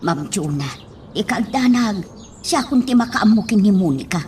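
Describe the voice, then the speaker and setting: A woman's voice: mid-range voice, lively intonation, normal speed, a little mellow, a little hiss or hum, lightly acted. A woman with an Ilocano accent playing a dutiful, reassuring household helper in a radio drama. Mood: dutiful, soothing.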